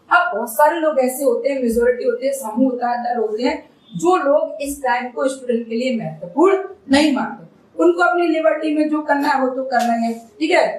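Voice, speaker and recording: Indian lady teacher reading out english lines and asking the class questions, sounds far off from the mic. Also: high-pitched. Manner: reads English phrases slowly, then explains in Hindi with quiz-style questions. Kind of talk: explaining an English lesson in Hindi. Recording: echoey room, voice from a distance.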